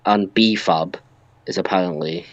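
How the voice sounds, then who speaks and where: A man's voice: low-pitched, a little slow, dry recording, lo-fi sound. A man co-hosting a podcast, chatting casually about wrestling. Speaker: podcast co-host.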